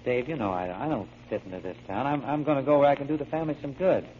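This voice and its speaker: An actor playing a feckless, remorseful grown son and brother who blames himself and promises big, in 1940s radio drama style. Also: male voice, mid-range voice, fast talker, close to an everyday voice. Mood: apologetic, resolved. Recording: muffled, hissy old radio broadcast.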